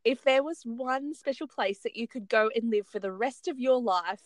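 A woman's voice: on the high side, moderate pace, dry recording. A woman hosting a casual chat, asking friendly questions and laughing often. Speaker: host.

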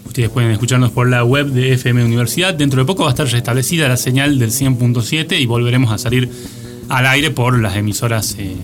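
A man's voice: mid-range voice, fairly even intonation, fast. A man with an Argentine accent, co-hosting a casual radio chat with a joking, ironic manner. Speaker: radio show co-host. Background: music playing behind the voice.

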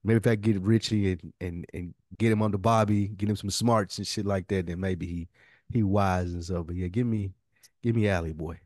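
A man's voice: deep voice, steady tone, moderate pace, darkish tone, dry recording, studio quality. A man co-hosting a podcast, bantering in an informal, slangy way as he thinks aloud. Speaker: podcast co-host. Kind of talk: casual banter about a TV series fantasy draft.

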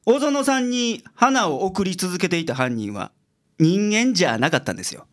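A man's voice: sits high, very animated, normal speed. Guy playing a kansai detective in a radio drama, blunt n logical, voice swings up and down a lot. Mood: composed, explaining. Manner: explanatory, blunt with his juniors. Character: gruff, logical male private detective, secretly soft-hearted.

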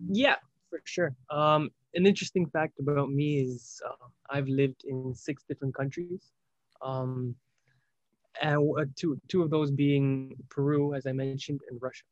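A man's voice: mid-range voice, fairly even intonation, a little slow, no echo. A young man from Spain, a startup founder speaking as an interview guest, hesitant and reflective, often restarting his phrases. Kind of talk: casual interview talk. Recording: dull lo-fi sound.

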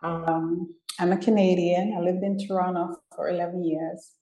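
A woman's voice: fairly low pitch, little pitch movement, moderate pace, dark tone, echoey room, rough recording. A woman born in Jamaica, speaking earnestly as an interview guest. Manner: earnest, repeats herself, fillers like "you know". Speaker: guest, women's mentor. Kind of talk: conversational interview.

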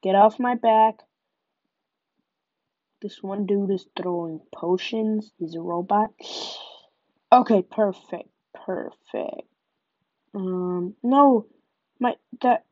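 Slow-talking young woman with a cold. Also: medium pitch, steady tone, darkish tone, no echo, lo-fi sound. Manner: chatty, narrates each move. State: nasal from a cold. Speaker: gaming podcaster. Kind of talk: live gameplay commentary.

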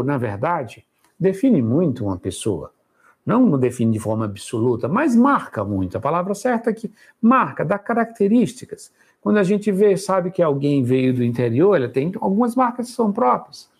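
A man gives a Bible lecture, his voice high and husky. He explains in a relaxed, conversational way, checking in with his listeners through frequent tag questions.

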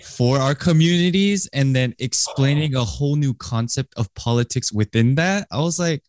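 A man hosting a podcast interview, asking questions in a relaxed, conversational way. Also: medium pitch, moderate pace. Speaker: podcast host.

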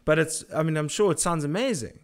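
A man's voice: on the high side, fairly quick, smooth. A man hosting a casual interview, joking and rambling in an informal way. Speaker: podcast host.

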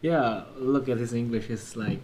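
A young man from China hosting a relaxed video chat, talking in a laid-back way with lots of fillers like "um" and "like.". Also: medium pitch, moderate pace, a little hiss or hum, poor audio. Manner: laid-back, filler-heavy, chuckles often. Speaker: host.

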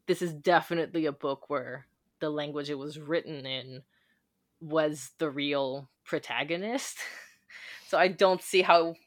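Low-voiced reflective woman, dry sound. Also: a little slow, no echo. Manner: thoughtful, reflective, with frequent fillers. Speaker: podcast co-host. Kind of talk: casual book discussion.

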